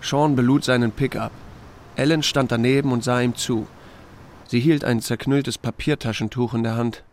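A man's voice: medium pitch, fairly even intonation, moderate pace. A man narrating an audiobook in the first person and acting out its dialogue in a dry, laconic, understated way. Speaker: audiobook narrator voicing a small-town sheriff's first-person story. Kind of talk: scripted narration with acted dialogue.

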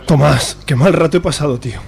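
A man playing a loyal, crude friend who talks in quick slang. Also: fairly high pitch, gravelly, darkish tone, faint music behind.

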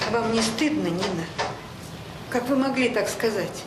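An actress in an audio drama playing a conscientious, reproachful colleague at a children's home. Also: female voice, a little high, moderate pace, lightly acted. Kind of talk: Soviet radio drama acting. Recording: echoey, noisy radio-play recording.

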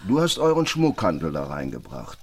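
An actor playing a threatening robber in a German radio crime drama, sardonic and pushy, with a husky voice. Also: male voice, deep voice, huge pitch swings, normal speed, heavily acted. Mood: pressing, heated. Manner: sardonic, pressing, familiar. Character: needling, threatening robber with jailed girlfriend.

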